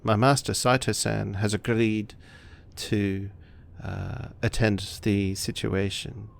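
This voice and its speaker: A man's voice: lowish voice, little pitch movement, takes it slowly, gravelly. A man playing a polite, deferential samurai interpreter who speaks formally in a tabletop game. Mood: respectful. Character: courteous samurai interpreter speaking for his silent mistress.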